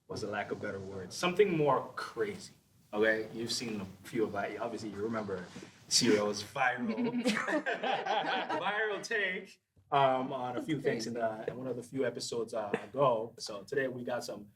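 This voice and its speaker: A man's voice: mid-range voice, expressive, moderate pace, a crowd in the background. A man hosting a casual podcast panel, talking loosely with lots of fillers and trailing asides. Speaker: podcast host.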